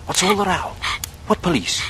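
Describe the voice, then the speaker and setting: A man's voice: high-pitched, expressive, normal speed. An actor playing a cocky young man in a radio drama, glib and breezy with mock politeness. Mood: breezy, curious. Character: cocky, smooth-talking young working-class man, slyly charming. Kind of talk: 1940s radio acting. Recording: noisy vintage radio recording.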